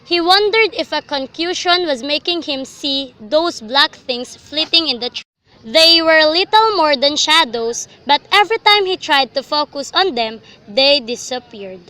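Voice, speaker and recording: High, bright young woman narrating. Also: animated, a little slow. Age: young adult. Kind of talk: scripted literary narration for a student radio drama.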